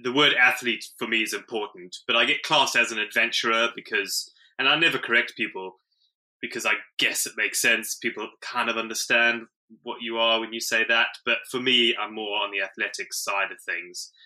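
A man's voice: medium pitch, steady tone, normal speed, bright, forceful tone, poor audio. A man from South Africa, a podcast guest, talking casually in a rambling, self-correcting way and chuckling often. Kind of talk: casual interview talk.

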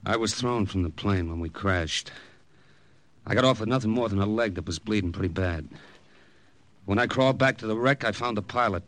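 An actor playing a tense American wartime agent recounting a jungle mission in dramatic, clipped 1950s radio style. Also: male voice, lowish voice, fairly quick, close to an everyday voice. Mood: grim, tense. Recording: old radio recording with loud music.

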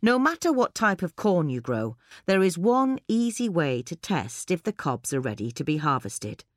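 Lady reading a how-to gardening script, really low voice, very dry close sound. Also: big pitch swings, moderate pace, no room sound. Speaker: gardening guide presenter. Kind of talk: scripted instructional narration.

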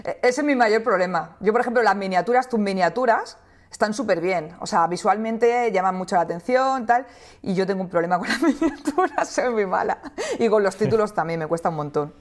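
A woman's voice: mid-range voice, animated, fairly quick. A woman from Barcelona with an Andalusian Spanish accent, a guest chatting casually on a podcast in a friendly way. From Barcelona, Spain. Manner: friendly, laughs easily. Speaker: guest YouTuber.

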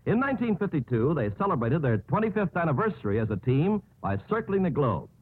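Animated American man, vintage radio announcer. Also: a little high, brisk, narrow, tinny audio. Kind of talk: 1950s scripted radio announcing. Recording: thin, rough 1950s radio recording.

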